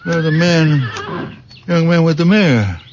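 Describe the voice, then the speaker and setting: Slow, halting very old man. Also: on the high side, expressive. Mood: shy, hesitant. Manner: slow, halting, plain-spoken. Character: very old village father, gentle, hesitant, grieving. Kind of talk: 1970s radio drama acting. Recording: dull, noisy old radio broadcast recording.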